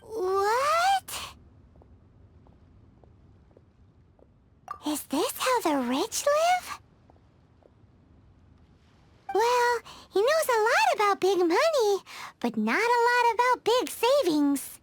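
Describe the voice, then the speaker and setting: A woman voicing a tiny, childlike, excitable fairy companion in an anime-style game, with a squeaky, cutesy, exclamatory delivery. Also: takes it slowly, a little hiss or hum, heavily acted. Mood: baffled. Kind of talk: English-dubbed anime-style game acting.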